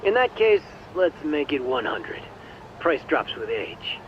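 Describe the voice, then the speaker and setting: An actor playing a smug, corrupt police detective who sells information, breezy and teasing, in an English video game dub. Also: male voice, on the high side, sing-song, takes it slowly, muffled recording, a little hiss or hum. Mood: casual, businesslike. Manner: breezy, cocky, wry, teasing.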